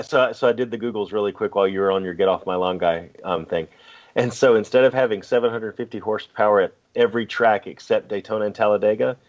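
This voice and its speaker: Co-host, easygoing jokester, low voice, dull lo-fi sound. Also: male voice. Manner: laid-back, joking, fillers. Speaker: podcast co-host. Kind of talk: casual sports podcast banter.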